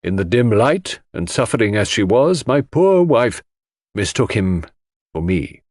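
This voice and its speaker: A man voicing a stiff, formal widower under suspicion, explaining himself deliberately and with composure. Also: fairly low pitch, very animated, darkish tone, dry recording, clean studio sound. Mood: composed.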